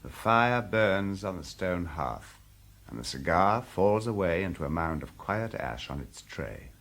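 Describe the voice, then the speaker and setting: A man narrating an audio drama in a deliberate, expressive, dramatic storytelling style. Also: deep voice. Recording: loud music under the voice. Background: music.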